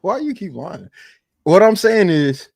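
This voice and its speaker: A man's voice: high voice, big pitch swings, measured pace, darkish tone, no room sound, clean studio sound. A man on a casual talk panel who repeats himself to hold the floor and press his point.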